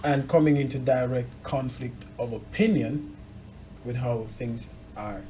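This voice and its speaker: Probing male interviewer, mid-pitched voice. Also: dark tone, slight background noise, rough recording. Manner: measured, probing, builds points in short phrases. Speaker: interviewer, host. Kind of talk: conversational discussion.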